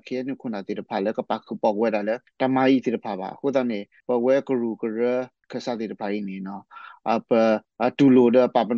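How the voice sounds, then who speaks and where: Creaky, mellow man in muffled audio. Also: medium pitch, dry recording. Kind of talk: spontaneous spoken commentary on radio. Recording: slightly muffled shortwave radio programme audio.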